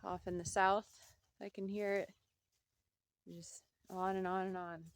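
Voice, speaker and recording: A woman's voice: medium pitch, little pitch movement, a little slow, no echo. A woman chatting casually to her camera at home, rambling with fillers and repeating herself as she talks. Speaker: vlogger.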